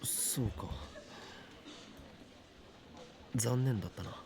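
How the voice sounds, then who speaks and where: An actor playing a grandiose, theatrical young self-styled mad scientist in a Japanese visual novel, with a pompous, dramatic delivery. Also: male voice, medium pitch, fast, noisy background, close to an everyday voice. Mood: matter-of-fact.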